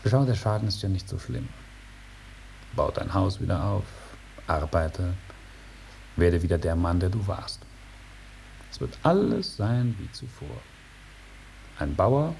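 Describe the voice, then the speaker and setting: An actor playing an aloof old male dragon in a 1950s German radio drama, speaking in a drawn-out, unhurried and repetitive way. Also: fairly low pitch, gravelly, mellow, exaggerated voice. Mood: gentle farewell. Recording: noisy 1950s radio recording.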